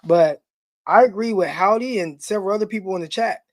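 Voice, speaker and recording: High-voiced man, dry close sound. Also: no room sound. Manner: enthusiastic, opinionated, quick asides. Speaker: co-host, former pro football player. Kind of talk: live podcast draft talk.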